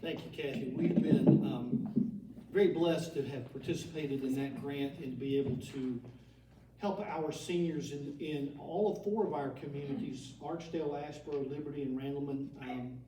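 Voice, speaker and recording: A man's voice: mid-range voice, normal speed, mellow, slight background noise. A man hosting an annual meeting, giving a formal address with some fillers and light humor. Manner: speaks with fillers and mild humor. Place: large meeting room. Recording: echoey room, distant mic, faint ambience.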